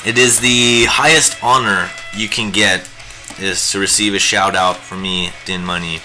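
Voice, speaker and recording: A man's voice: deep voice, steady tone, unhurried, sharp tone, soft background music. A young man hosting a live painting stream, chatting in a rambling, jokey way while he works. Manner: rambling and jokey, lots of fillers. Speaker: livestream host teaching digital painting.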